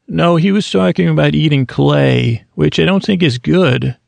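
A man's voice: a little high, moderate pace, a little mellow, dry recording. A man hosting a sleep podcast, meandering through a self-deprecating ramble meant to lull listeners to sleep. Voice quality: creaky. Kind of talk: rambling bedtime talk.

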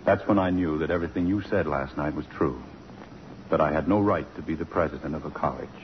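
On a muffled, noisy old radio broadcast, a middle-aged father with a deep voice. He speaks in a measured, formal way, grave and contrite. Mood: solemn. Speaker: radio drama actor. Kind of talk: 1950s radio drama acting.

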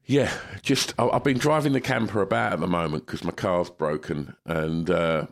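Man, very low pitch, congested, sweary rambling podcast chat. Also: moderate pace, vocal fry. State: congested with a cold. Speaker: podcast co-host. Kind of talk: casual chat.

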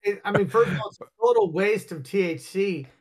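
High-voiced joking male co-host. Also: brisk, darkish tone, slightly rough. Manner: joking, emphatic. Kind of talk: casual chat.

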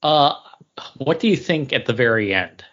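A man's voice: medium pitch, lively intonation, moderate pace, fairly bright tone. A man chatting casually as a panelist on a review podcast. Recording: dull, lo-fi audio.

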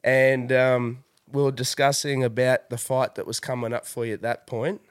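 A man's voice: medium pitch, little pitch movement, normal speed, clear, resonant tone. A man hosting a podcast in casual chat, congested from a cold, friendly and rambling with fillers. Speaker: podcast host.

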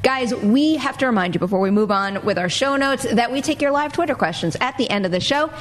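Articulate woman host with a higher-pitched voice. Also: lively intonation, brisk. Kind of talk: conversational panel show hosting.

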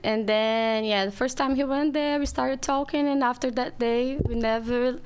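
A woman with a Brazilian accent, a native Portuguese speaker, chatting casually as a podcast guest in slightly halting English. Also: fairly high pitch, fairly even intonation, smooth. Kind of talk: casual chat.

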